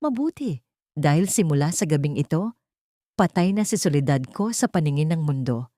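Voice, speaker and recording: A woman narrator plays an old tycoon father in an audio drama. He is solemn and deliberate, full of regret, with a cold, vengeful edge. The sound is dry and close. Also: deep voice, animated, dark tone, no echo. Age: older. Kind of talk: dramatic audio story dialogue.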